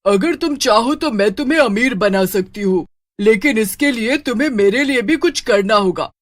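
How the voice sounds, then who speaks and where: A woman's voice: fairly high pitch, lively intonation, moderate pace, natural voice. A woman voicing a cunning witch in a dramatised storybook tale, with a scheming, emphatic delivery. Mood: coaxing. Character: cunning witch luring a poor boy with gold.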